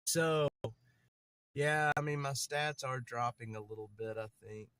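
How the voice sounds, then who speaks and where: A man's voice: mid-range voice, measured pace, fairly bright tone, smooth, no room sound. A man with a stutter, chatting casually on a livestream from a city street while moving around, rambling and repeating himself.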